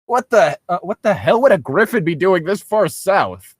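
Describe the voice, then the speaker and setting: Man as earnest young male hero, incredulous, raised pitch, lively, storybook. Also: moderate pace, no echo, studio quality.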